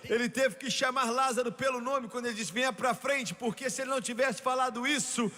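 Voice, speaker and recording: A man preaching a fervent revivalist sermon, shouting exultantly with emphatic repetition. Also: very high-pitched, steady tone, a little fast. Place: large reverberant hall with congregation. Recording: echoey hall, noisy crowd behind.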